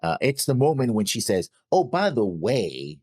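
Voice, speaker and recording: A man co-hosting a reaction show, giving casual commentary in a halting way, often checking with "right?". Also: mid-range voice, very animated, a little mellow, breathy edge, no echo, clean studio sound. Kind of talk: casual discussion and episode commentary.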